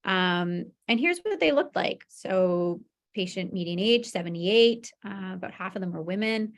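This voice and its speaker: A woman presents research in a webinar at a medium pitch. Her voice has noticeable vocal fry. She explains things informally, checking in with listeners as she goes. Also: animated, measured pace. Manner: informal explaining with frequent "you know" and "right?" check-ins. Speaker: medical researcher presenting a study.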